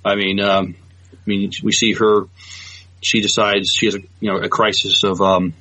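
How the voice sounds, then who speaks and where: Husky, low-voiced man on dull dry audio. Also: little pitch movement, sharp tone, no room sound. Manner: halting, stammers over repeated words. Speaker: podcast co-host. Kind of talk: casual news chat.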